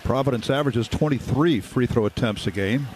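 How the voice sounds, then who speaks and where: A man's voice: mid-range voice, brisk. A man giving brief, factual color analysis on a live basketball radio broadcast. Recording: courtside with loud crowd and gym noise. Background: crowd and arena ambience.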